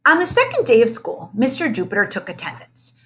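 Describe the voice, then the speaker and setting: Very bright, animated woman reading a storybook. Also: on the high side, a little fast. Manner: expressive, acts out each character's dialogue. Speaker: reader reading a children's book aloud. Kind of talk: animated storybook read-aloud.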